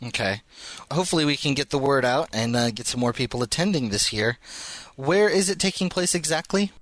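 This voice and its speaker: A man's voice: medium pitch, animated, brisk, fairly bright tone, dry recording. Man hosting a podcast, close and dry. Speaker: podcast host and interviewer. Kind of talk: conversational interview.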